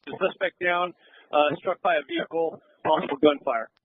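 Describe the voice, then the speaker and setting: A man's voice: fairly high pitch, moderate pace, slightly rough, no echo. A man, a police officer, making terse, urgent calls over a radio. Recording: band-limited tinny police radio.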